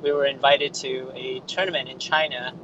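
A man's voice: mid-range voice, level tone, moderate pace, muffled recording, background noise. A man, a guest on a podcast interview, recounting his martial arts training in a matter-of-fact way. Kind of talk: casual interview.

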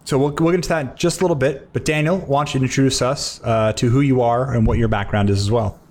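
A man's voice: medium pitch, fairly even intonation, fast, a little mellow. A man hosting a podcast interview, casual and enthusiastic, often amazed by his guests. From United States. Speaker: podcast host.

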